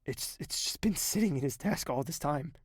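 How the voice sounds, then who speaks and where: An actor playing a weary, grieving young king in an audio drama, speaking in a measured, introspective, hushed way. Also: on the high side, expressive, husky, dry recording, clean studio sound. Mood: heavy, resolute. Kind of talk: dramatic first-person narration and dialogue in audio drama.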